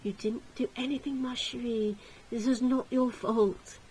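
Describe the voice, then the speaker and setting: A woman voicing a gentle, protective gentleman from a gothic visual novel, speaking in a soothing, reassuring way. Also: on the high side, steady tone, a little slow, breathy edge, pitched above the performer's own voice, rough recording. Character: gentle, protective young gentleman consoling a loved one. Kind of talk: dramatic visual novel character reading.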